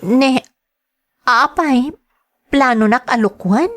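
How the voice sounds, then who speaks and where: A woman's voice: high voice, slow, voice pushed up. A woman with a Filipino accent playing a cautious young girlfriend in a radio drama. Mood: worried, teasing. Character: cautious young girlfriend wary of her parents.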